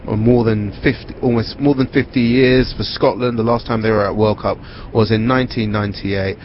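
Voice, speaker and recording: A male reporter presenting a news segment and interviewing people in the field, speaking in a measured way with small hesitations. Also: on the low side, fairly even intonation, muffled recording, a little hiss or hum.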